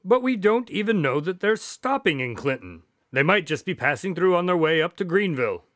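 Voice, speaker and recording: Male sidekick doctor, skeptical, polite formal phrasing, highish voice, animated pitch, radio drama. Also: a little fast, no room sound, studio quality. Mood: skeptical, reluctant. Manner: polite, formal, dryly doubting. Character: skeptical, proper male doctor, detective's long-suffering sidekick.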